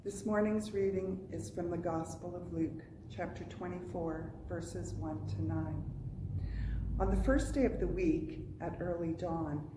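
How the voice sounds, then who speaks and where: A woman reading a Gospel passage in a clear, steady manner in a church. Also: lowish voice, measured pace. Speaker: scripture reader. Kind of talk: scripture reading. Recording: distant, roomy recording with loud noise.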